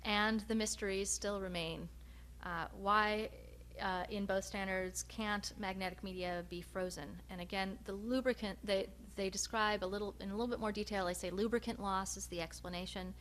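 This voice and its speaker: A woman researcher giving a research presentation, speaking in a measured, hesitant way with frequent pauses. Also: mid-range voice, steady tone, a bit bright. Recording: noticeable background hum and noise.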